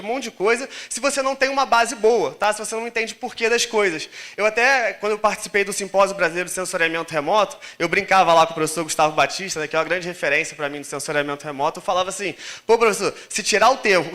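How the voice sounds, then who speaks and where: A man's voice: high-pitched, sharp tone. A man with a Brazilian Portuguese accent giving an informal lecture, colloquial and explanatory, often checking in with short tag questions. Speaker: conference speaker and course instructor.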